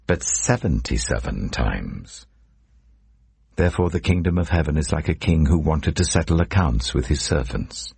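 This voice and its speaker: A man reading scripture as a narrator in a solemn, measured, dramatic storytelling delivery. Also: extremely low pitch, vocal fry, darkish tone, slightly rough, no room sound. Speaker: Bible narrator.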